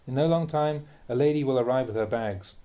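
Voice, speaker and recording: Mellow-voiced man reading a Dharma talk. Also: mid-range voice. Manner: measured, emphatic, with rhetorical questions. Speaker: Buddhist monk reading a teacher's talks aloud. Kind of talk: reading a translated Dharma talk aloud, with brief asides.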